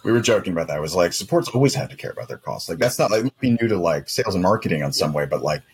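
A man chats casually as a podcast co-host. His voice is deep and very raspy, and he speaks extremely quickly. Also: lively intonation. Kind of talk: casual chat.

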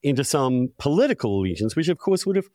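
Male podcast host, middle-aged, emphatic and opinionated, very lively intonation, close dry recording. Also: medium pitch, fast, no echo. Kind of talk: conversational podcast discussion.

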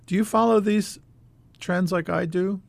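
A man's voice: high-pitched, fairly even intonation, measured pace, vocal fry, no room sound. An American man hosting a casual interview, speaking in a curious, conversational way. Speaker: host.

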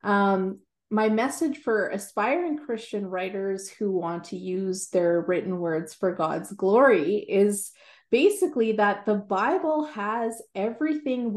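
A woman's voice: medium pitch, measured pace, darkish tone, roomy sound. A woman in her forties with a Canadian accent, a guest writer and Bible teacher talking earnestly in an interview and laughing easily. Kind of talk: interview conversation.

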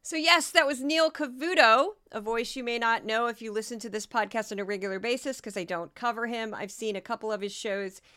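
Woman podcaster doing news commentary, kinda sarcastic, voice going hoarse, high and clear. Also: fairly quick, hardly any pauses, bright, forceful tone. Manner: sardonic, talks to listeners directly. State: losing her voice. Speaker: podcast host.

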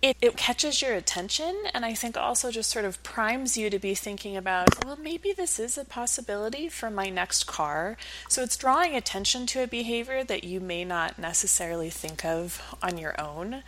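A woman's voice: a little high, normal speed, hardly any pauses, a bit bright, rough recording. A woman speaking as a research professor and interview guest, explaining things conversationally.

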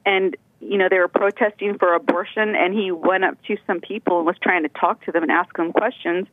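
A woman's voice: on the low side, fairly even intonation, fairly quick, no room sound. A woman from California calling in to a show, chatty and rambling, giggling as she talks over a phone line. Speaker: caller. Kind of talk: casual phone-in chat. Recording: tinny phone line, dry.

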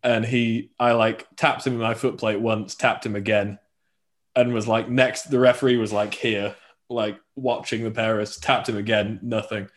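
A man's voice: on the low side, little pitch movement, normal speed. A man hosting a casual sports podcast, telling anecdotes and explaining details in a joking, conversational way. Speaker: podcast host.

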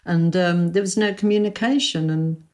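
A woman's voice: lowish voice, steady tone, moderate pace, darkish tone. An older woman, reminiscing chattily as an interview guest and repeating phrases for emphasis. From London, England. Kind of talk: reminiscing in casual conversation.

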